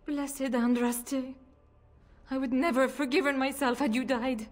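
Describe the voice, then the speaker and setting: A woman's voice: high-pitched, flat delivery, measured pace, faint music behind. A woman playing an anguished, protective noble mother in a fantasy video game, pleading and emotional.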